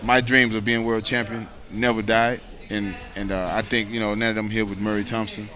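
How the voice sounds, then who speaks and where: A man's voice: fairly low pitch, flat delivery, fairly quick, sharp tone. An American man in his late thirties, a boxer chatting casually as an interview guest, earnest and rambling, often saying "you know.". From United States.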